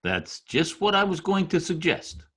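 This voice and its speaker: A man's voice: high voice, big pitch swings, measured pace, a bit bright, breathy edge, exaggerated voice. A man playing a deferential, persuasive political adviser who schemes for a dictator in an old-time radio comedy. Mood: suggestive, coaxing. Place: home room, recorded over video call.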